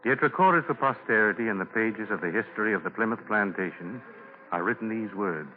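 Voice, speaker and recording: A man's voice: lowish voice, brisk, soft background music. A man narrating a 1940s radio drama from a script, formal and solemn. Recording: noisy, tinny 1940 radio broadcast.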